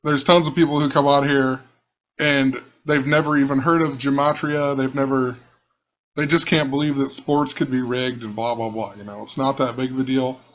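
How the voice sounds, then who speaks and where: Rough-voiced man, lo-fi recording. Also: medium pitch, little pitch movement, moderate pace, slight background noise. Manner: musing, rambling, repeats himself. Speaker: channel host. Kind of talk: casual screen-share commentary.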